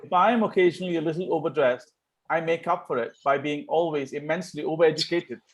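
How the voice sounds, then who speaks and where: Casting: idle, cheeky young Victorian gentleman played by a man, lightly raised pitch, breezy teasing, amateur comedy read. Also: fast talker, close to an everyday voice, poor audio. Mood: flippant, teasing.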